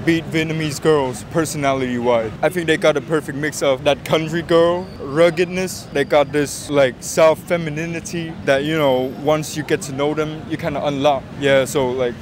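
A young man answering a street interview at night, talking slowly in a relaxed, slangy way. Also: on the high side, background noise. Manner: slow, relaxed slangy talk. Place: city square at night, outdoors.

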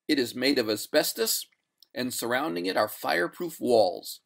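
A narrator playing a firm, coolly courteous gentleman in a storybook, formal and measured, with a quiet gloat. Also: mid-range voice, vocal fry.

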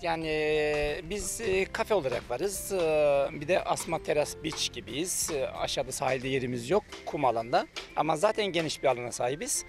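A man's voice: a little high, expressive, gravelly. Hesitant middle-aged man, faint music behind. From Turkey. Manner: hesitant, many drawn-out fillers, self-assured claims. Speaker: cafe manager. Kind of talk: on-camera interview. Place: outdoors by a beach under trees. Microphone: handheld reporter mic.

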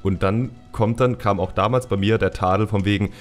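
Low-pitched man chatting casually. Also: steady tone, a little fast, faint music behind. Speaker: podcast host and YouTuber. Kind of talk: casual podcast chat.

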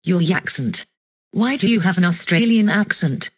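A woman acts out a pushy, tiny would-be leader in a comedy skit. Her voice is husky and on the low side. The pitch moves a great deal through blunt lines. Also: animated, normal speed. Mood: insistent. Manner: blunt, insistent. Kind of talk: scripted comedy skit dialogue.

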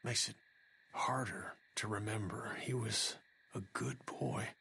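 Weary gruff father. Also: lowish voice, a little slow, bright, forceful tone, a little hiss or hum, heavily acted. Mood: grieving, reflective. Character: weary bearded middle-aged father, grieving and gruff.

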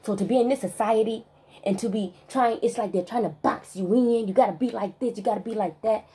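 A woman vlogger in a spiritual rant monologue, emphatic and profane, stressing single words with long pauses. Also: medium pitch, mellow, slightly rough.

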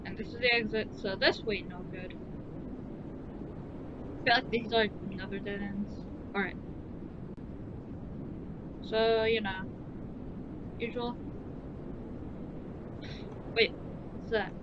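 A woman's voice: steady tone, takes it slowly, long pauses. A woman doing casual, informal let's play commentary, muttering to herself as she plays a game. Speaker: gaming video host. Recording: muffled audio with loud rumbling noise.

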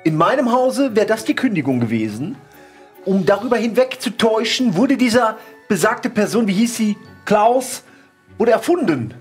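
A man's voice: high-pitched, big pitch swings, moderate pace, husky, faint music behind, exaggerated voice. A man voicing a pompous, haughty count in his late seventies who loves his indulgences, in a tabletop audio drama. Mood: smug, dismissive. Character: pompous old count of seventy-eight, fond of drink.

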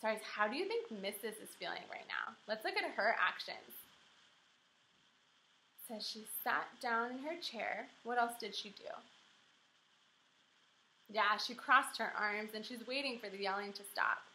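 Bright-voiced young American woman teaching children. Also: fairly high pitch, measured pace, pauses often, slight background noise, poor audio. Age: young adult. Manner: animated, encouraging, instructional, speaking simply to children. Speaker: elementary school teacher. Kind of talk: interactive children's read-aloud lesson. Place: small indoor room with whiteboard.

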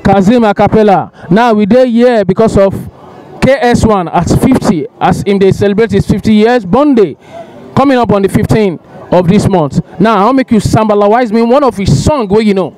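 High-voiced Nigerian pidgin street host. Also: male voice, moderate pace, low-quality recording. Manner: lively, coaxing, hypes the viewers. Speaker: street interviewer. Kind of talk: street vox pop in pidgin. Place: busy outdoor street market. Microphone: handheld mic.

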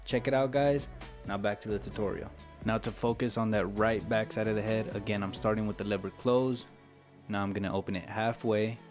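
A man's voice: fairly low pitch, level tone, fairly quick. An American man narrating an instructional tutorial in a casual, step-by-step way. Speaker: barber giving a tutorial. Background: background music with guitar.

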